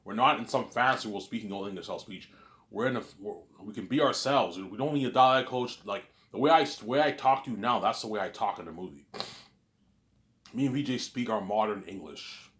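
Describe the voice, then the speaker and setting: Low-voiced rambling man, mild Canadian accent. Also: a little fast, fairly bright tone. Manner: rambling, repeats and restarts words. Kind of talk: casual monologue.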